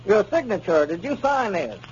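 An actor playing a formal, pressing small-town lawyer in a 1930s radio comedy, questioning deliberately. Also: male voice, sits high, big pitch swings, slight background noise. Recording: muffled 1930s radio recording.

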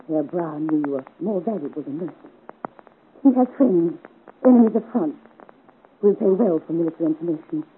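A woman's voice: on the low side, lightly acted. A woman acting a secretive, troubled young Parisian woman, earnest and dramatic, in 1950s radio serial style. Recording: narrow-band, hissy 1950s radio recording.

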